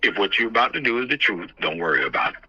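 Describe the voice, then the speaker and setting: A man's voice: fairly low pitch, very animated, racing, sharp tone, slightly rough, dry recording. A man giving candid, emphatic advice on a phone call, repeating phrases to drive his point home. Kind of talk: candid phone conversation, advising. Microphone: telephone. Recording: muffled, band-limited phone call.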